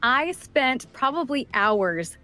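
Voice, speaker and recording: Animated woman in interview, music behind. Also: high voice, slow. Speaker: voice actor, interview guest. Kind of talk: casual interview chat. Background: background music.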